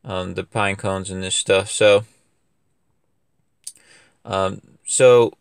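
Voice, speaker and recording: A man's voice: low-pitched, steady tone, very slow, gravelly, smooth, no room sound, studio quality. A young man running a lawn care business, chatting casually in a loose, rambling way full of fillers and restarts. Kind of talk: casual equipment review vlog. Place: outdoors in a wooded yard.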